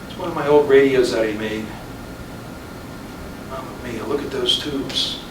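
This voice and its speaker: A man in a staged play reading, playing a weary, regretful, stubborn husband of fifty-five who speaks haltingly. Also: mid-range voice, natural voice. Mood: wistful. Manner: halting, repeating words, brooding. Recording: echoey room from far off, noisy.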